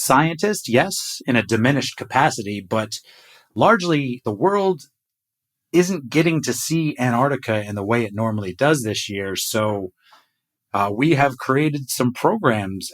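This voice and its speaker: A man with a mid-pitched voice hosts a podcast discussion. He speaks in a casual, conversational style, as in a relaxed group chat. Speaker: podcast host.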